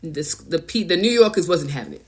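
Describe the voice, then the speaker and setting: Middle-aged woman from trinidad, light new york accent, lowish voice, super crisp and enunciated like a news reader, just chatting. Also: expressive, fast talker. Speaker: host, mother of co-host. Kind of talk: casual chat.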